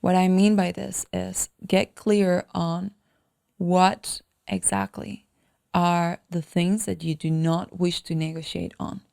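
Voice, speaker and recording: A woman podcast host with a fairly low voice and plenty of vocal fry. She talks in a motivational, deliberate way, leaning on key words and repeating phrases to drive them home. She comes from the Dominican Republic. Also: little pitch movement, mellow. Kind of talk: motivational solo podcast talk.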